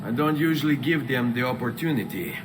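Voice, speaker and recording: A man's voice: medium pitch, expressive, brisk, long reverb tail, very noisy, natural voice. Man acting a confident young Italian assassin. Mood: wry confidence. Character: confident young male Renaissance Italian assassin, courteous and wry. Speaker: video game protagonist. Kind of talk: dramatic video game acting.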